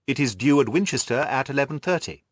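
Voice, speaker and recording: A man reading the part of a detective's earnest, enthusiastic gentleman friend in an audiobook, in an eager manner. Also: medium pitch, animated, fast talker, no room sound, clean studio sound, close to an everyday voice. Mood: businesslike. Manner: eager, exclamatory.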